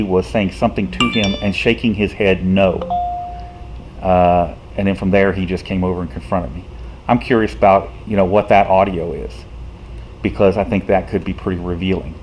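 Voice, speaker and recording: A man, a citizen activist, talking casually to the camera in a frustrated, rhetorical way, halting often with fillers. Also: on the low side, darkish tone, a little hiss or hum, poor audio.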